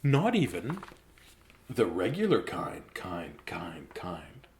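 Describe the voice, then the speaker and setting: A man's voice: low-pitched, huge pitch swings, slow pace, darkish tone, a little hiss or hum. A man reading a children's bedtime story theatrically, slipping into whispers and repeating words for drama.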